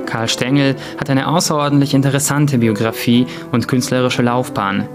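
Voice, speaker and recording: Guy narrating a tv arts report, scripted, normal pitch, music behind him.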